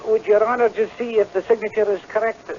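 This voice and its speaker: An actor playing a humble, frightened but stubborn piper, earnest and deferential, in a radio drama. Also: male voice, high voice, little pitch movement, quick pace, a little mellow, natural voice. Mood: respectful, stubborn. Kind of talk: old-time radio drama acting. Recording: dull, faintly noisy vintage radio broadcast.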